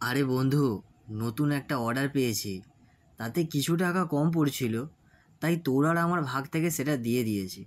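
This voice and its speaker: A man's voice: mid-range voice, animated, a little fast, lightly acted. A man playing an earnest, hardworking business partner in a cartoon story. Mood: worried. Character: earnest, hardworking male business partner, wronged by his friend. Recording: music under the voice.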